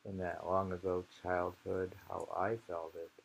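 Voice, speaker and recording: Slow, low-pitched man musing over poems. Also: level tone, gravelly, slight background noise, low-quality recording. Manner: musing, halting, pauses often. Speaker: poetry reader and commentator. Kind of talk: informal poetry reading with musing commentary.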